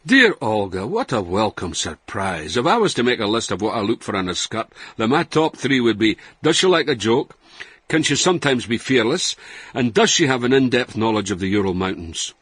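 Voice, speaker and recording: A man's voice: mid-range voice, big pitch swings, normal speed, fairly bright tone, slightly rough, no room sound. An actor playing a roguish, boastful Scottish man in his sixties from Dundee in a radio comedy, colloquial and mock-sincere. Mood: cheerful, boastful. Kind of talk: radio comedy acting, reading out emails.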